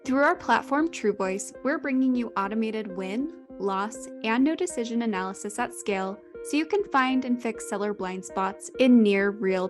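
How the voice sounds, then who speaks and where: A woman reading a polished, promotional sponsor message. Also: fairly high pitch. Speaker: ad announcer. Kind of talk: scripted sponsor read. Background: music.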